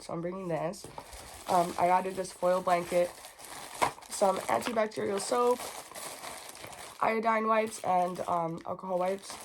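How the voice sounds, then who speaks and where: Low-pitched young woman with a cold. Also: slight background noise. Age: 20s. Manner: chatty, unfiltered, rambling asides. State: slight cold, sniffly, a little raspy. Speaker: vlogger. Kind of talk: casual packing vlog to camera.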